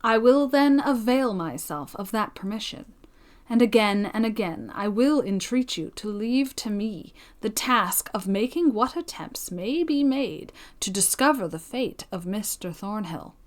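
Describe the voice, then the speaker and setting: A woman reading a storybook voices a devoted, cautious gentleman suitor who pleads in formal period speech. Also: mid-range voice, lively intonation, unhurried, natural voice. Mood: solemn pleading.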